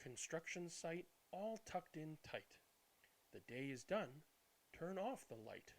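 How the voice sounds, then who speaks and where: Slow, animated man reading a bedtime story. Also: fairly high pitch. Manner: animated, deliberate reading with hushed good nights. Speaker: read-aloud channel host. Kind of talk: expressive children's bedtime storybook narration.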